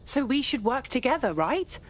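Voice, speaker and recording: A woman's voice: high-pitched, sing-song, moderate pace. A woman playing a caring, earnest resistance operative in a game, in natural conversational delivery. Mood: concerned. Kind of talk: game cutscene dialogue.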